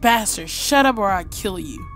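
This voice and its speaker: A woman's voice: mid-range voice, animated, normal speed, fairly bright tone, faint music behind, lightly acted. A woman voicing an anguished young man, the hero of a visual novel, in terse, strained lines. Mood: angry.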